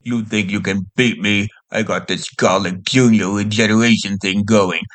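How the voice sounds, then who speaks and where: A menacing villain acted by a man from an abridged anime parody. His voice sits fairly low, and he boasts like an arrogant sorcerer champion turned monster. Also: steady tone, moderate pace, over music, close to an everyday voice. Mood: menacing, boastful. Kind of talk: fan abridged anime dub.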